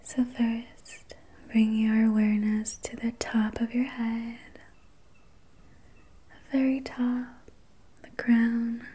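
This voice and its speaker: A woman whispering softly and breathily as an ASMR host, guiding relaxation in a soothing, gentle, unhurried manner. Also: on the high side, flat delivery, slightly rough. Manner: soothing, slow, gentle personal attention. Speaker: ASMR host and reiki practitioner.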